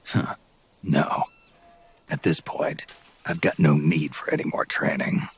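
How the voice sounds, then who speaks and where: An actor playing a gruff, aging soldier in a video game, terse and dry with a gravelly rasp. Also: male voice, extremely low pitch, steady tone, slow, a little hiss or hum, exaggerated voice. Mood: dry, curious. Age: older. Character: gruff, world-weary aging male soldier, sardonic and terse. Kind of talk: video game cutscene acting.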